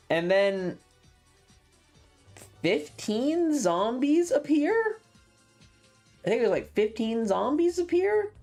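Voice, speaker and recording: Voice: mid-range voice, darkish tone. A streamer telling a tabletop game story casually and animatedly, with frequent swearing. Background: background music.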